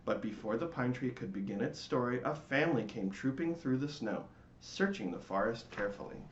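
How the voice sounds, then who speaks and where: A man giving a dramatic, theatrical reading of a children's storybook in measured phrases. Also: mid-range voice, lively intonation, echoey room, dull audio, slight background noise.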